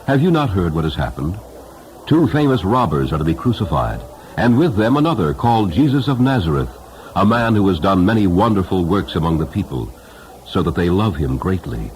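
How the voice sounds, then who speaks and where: A man's voice: low voice, big pitch swings, brisk, a little mellow, a little hiss or hum, rough recording. A man voicing grave Parthian pilgrims telling news in a solemn storybook reading, declaiming their words. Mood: grave, urgent.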